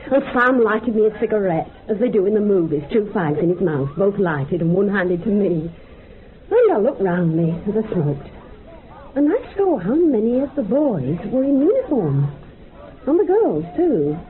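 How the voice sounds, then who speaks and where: A woman's voice: mid-range voice, very animated, moderate pace, lightly acted. An actress playing a woman in a radio drama, telling a rambling, colloquial story of a haunted memory. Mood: fond, chatty. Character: woman recounting a dream of wartime dead in a club. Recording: muffled narrow-band radio recording, background hiss.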